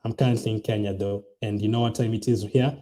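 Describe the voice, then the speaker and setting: A man's voice: on the low side, steady tone, fast talker, dark tone. A young man hosting a casual fantasy football stream, rambling with many ums and restarts, tired late at night. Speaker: fantasy football channel host. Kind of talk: casual livestream chat reading viewer comments.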